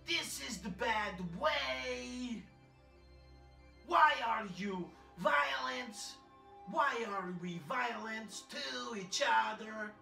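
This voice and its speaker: Performer doing a gravelly, pompous immigrant poet. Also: medium pitch, sharp tone, heavily acted. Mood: preaching. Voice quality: broken English, blunt pronouncements, chanting repetition. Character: boastful male immigrant poet named Sergio, anxious about deportation. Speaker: comedy character reciting poems. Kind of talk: prerecorded comedy bit. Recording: echoey, distant, music underneath.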